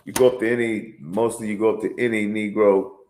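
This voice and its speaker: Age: adult. Sex: man. Pitch: very low. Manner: tags lines with "man", reads scripture raised and slow. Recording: echoey room. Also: flat delivery. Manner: reads scripture aloud in a raised, louder, slower voice. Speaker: religious teacher. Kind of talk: informal Bible teaching and commentary.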